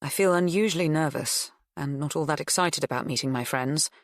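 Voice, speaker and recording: A woman's voice: low voice, fairly quick, no room sound, studio quality. A woman narrating first-person fiction as a scripted audiobook reading.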